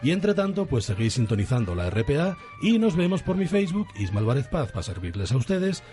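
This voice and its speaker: A man's voice: medium pitch, very animated, a little fast, warm tone, soft background music. A man with a Spain accent hosting and narrating a parody radio soap in dramatic scripted style. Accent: Spanish (Spain). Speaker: radio show host and narrator.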